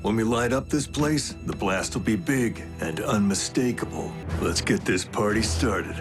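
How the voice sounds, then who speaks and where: A man voicing a cocky, battle-hardened alien robot warrior in an animated action series, wry, swaggering and laconic, with a brash wisecracking streak. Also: lowish voice, measured pace, natural voice. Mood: confident, wry. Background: music.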